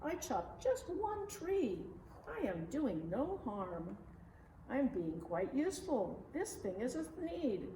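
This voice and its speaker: A woman's voice: sits high, very animated, slow pace, mellow, voice pushed up, full character voice. A woman voicing a brash, greedy storybook businessman, smug, boastful and persuasive. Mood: boastful, placating. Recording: roomy, distant mic, faint noise.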